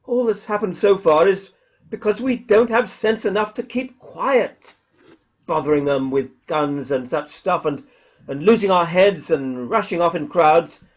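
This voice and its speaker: An actor playing a jumpy, defiant militiaman surviving alone, with a folksy, halting, agitated delivery in a radio play. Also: sits high. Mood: agitated, bitter. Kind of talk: dramatic radio play dialogue.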